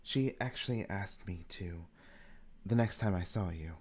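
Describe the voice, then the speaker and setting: A man voicing a composed, courteous woman who leads a witch coven in a tabletop game, formal and measured. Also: deep voice, a little mellow. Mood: gracious.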